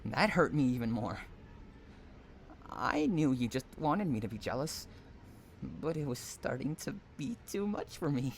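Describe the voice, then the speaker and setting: A man's voice: mid-range voice, very animated, measured pace, slight background noise. A man acting an obsessive, unhinged stalker in an audio roleplay, cooing pet names and drawing out words between tenderness and anguish. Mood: anguished, frantic. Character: obsessive, unhinged male stalker lover, sweetly possessive and menacing. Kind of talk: dramatic roleplay audio monologue.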